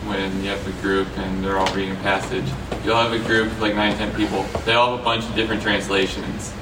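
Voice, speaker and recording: A man's voice: low-pitched, flat delivery, quick pace. A man in a church group discussion, asking plain questions. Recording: roomy, distant mic, noise.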